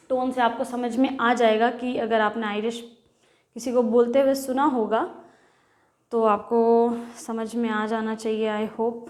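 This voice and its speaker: A woman's voice: on the high side, a little mellow. A woman teacher giving a lesson in Hindi, mixing in English words as she explains. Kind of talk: bilingual classroom lecture. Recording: echoey room.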